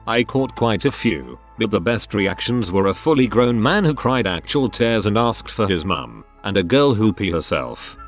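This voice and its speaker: A man reading online stories aloud in a steady, even scripted narration. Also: on the low side.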